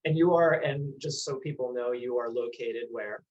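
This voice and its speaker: A male host interviews a guest over a video call, his voice at a middle pitch. He talks in a chatty, explaining way, often restarting his sentences. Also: moderate pace, lo-fi sound, a crowd in the background.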